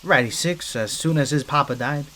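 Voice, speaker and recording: A man's voice: fairly high pitch, moderate pace, bright, forceful tone. Man voicing a scrappy streetwise mobster rat. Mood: grim, uneasy. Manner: streetwise gangster slang, chatty, hesitant asides. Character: scrappy wisecracking mafia henchman rat, wounded and wary. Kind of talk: ASMR roleplay monologue.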